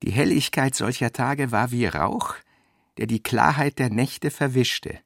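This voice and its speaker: A man narrates literary prose in a measured, reflective way. His mid-pitched voice has a gravelly creak, and the recording is dry and close, as in a radio production. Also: fairly bright tone, dry recording.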